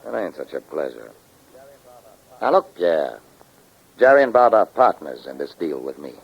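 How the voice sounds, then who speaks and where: A man playing a gruff, scheming crook and fence in a 1940s radio crime drama. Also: a bass voice, big pitch swings, normal speed, exaggerated voice. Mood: gruff, curt. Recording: dull, noisy, crackly old radio recording.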